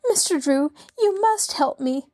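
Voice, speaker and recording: A woman's voice: sits high, expressive, measured pace, warm tone, voice pushed up. A woman voicing a genteel middle-aged lady in distress who pleads breathlessly and near tears. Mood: distressed, tearful.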